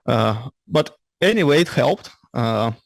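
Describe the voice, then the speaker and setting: Ukrainian-Scottish man with gravelly voice. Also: medium pitch, lively intonation, dry recording. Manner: halting, slightly ungrammatical English with frequent restarts. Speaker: invited engineer speaker. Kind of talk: lecture presentation. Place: lecture room with audience.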